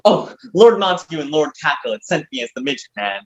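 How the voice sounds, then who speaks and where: A man playing an eager, dim-witted, flattering manservant with a deferential, hesitant manner, in a comic stage play reading. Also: a little high, brisk, muffled recording. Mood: apologetic.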